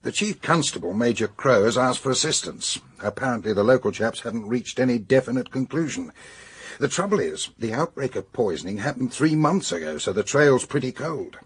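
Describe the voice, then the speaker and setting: Husky British police superintendent. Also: male voice, medium pitch, lively intonation, a little fast. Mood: matter-of-fact. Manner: matter-of-fact briefing. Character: brisk, businesslike male police superintendent. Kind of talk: British radio detective drama.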